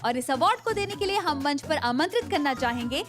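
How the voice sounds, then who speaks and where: A woman with a very high, bright voice hosts an award show on stage, trading teasing banter and announcing awards. Loud music plays behind her. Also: expressive, fast. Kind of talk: stage emcee patter.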